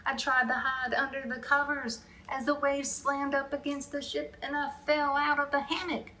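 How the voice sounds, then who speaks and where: Female children's storyteller, bright forceful voice, expressive read-aloud, medium pitch, faint background noise. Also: normal speed. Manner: expressive, with brief asides to young listeners. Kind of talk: animated picture-book read-aloud.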